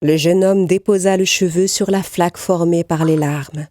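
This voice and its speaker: A woman narrating a scripted children's fairy tale in a clearly articulated storytelling manner. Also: on the low side, steady tone, moderate pace, a little mellow, no room sound, clean studio sound.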